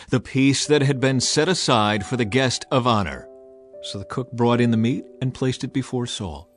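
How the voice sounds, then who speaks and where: A man narrating scripture as a scripted, dramatized audio reading. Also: medium pitch. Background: music.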